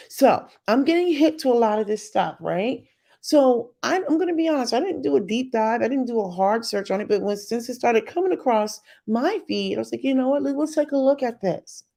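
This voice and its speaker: A middle-aged woman giving an opinionated commentary as a YouTuber, emphatic and sassy in her delivery, with a voice she calls deep. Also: mellow. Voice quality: deep voice by her own account. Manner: sassy, emphatic, mocks others in put-on voices.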